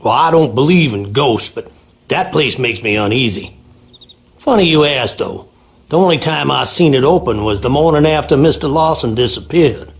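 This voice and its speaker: An actor playing a wary, rustic groundskeeper with an American Southern accent in a video game. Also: male voice, medium pitch, lively intonation, moderate pace, vocal fry. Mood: guarded, uneasy. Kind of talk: video game character acting.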